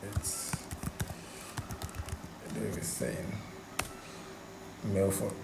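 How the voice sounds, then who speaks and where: A man's voice: sits low, lively intonation, extremely slow, gravelly. A man hosting a coding tutorial in a casual, conversational way, repeating himself for emphasis. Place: indoor room, voice over screen recording. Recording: echoey room, background hiss.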